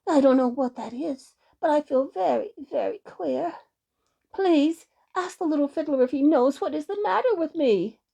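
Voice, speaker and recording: Woman voicing a plaintive little pixie boy, very high. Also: expressive, moderate pace, gravelly, mellow. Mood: queasy, bewildered. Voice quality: small, thin, high.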